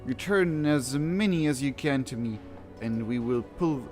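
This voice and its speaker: A man's voice: mid-range voice, animated, unhurried. A man casually commenting on a video game as he plays and reads quest text aloud, with dry, wry asides. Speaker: gaming video host. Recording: game music behind the voice. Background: video game music.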